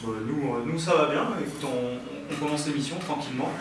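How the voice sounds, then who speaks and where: A man hosting a radio show in a casual, halting way, often repeating phrases as he goes. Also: a little high, fairly even intonation. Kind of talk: casual presenting. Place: radio studio. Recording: roomy, distant mic, noisy, clicks.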